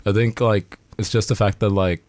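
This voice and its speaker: A man's voice: fairly low pitch, steady tone, moderate pace, a little mellow, no room sound. A Sri Lankan-born man in a band, chatting casually on a radio show, rambling, swearing and laughing as he talks. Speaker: band member guest. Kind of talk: casual radio interview chat.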